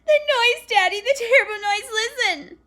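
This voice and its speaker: A woman voicing a frightened, excitable little girl who shrieks and pleads near tears. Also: normal speed. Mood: terrified. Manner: shrill, breathless, near tears.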